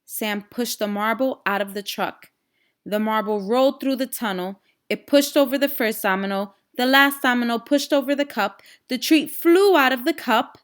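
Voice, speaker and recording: A woman's voice: a little high, normal speed, smooth. A woman teacher reading a children's storybook aloud expressively and putting simple questions to young listeners. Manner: expressive, instructional, addresses young listeners directly.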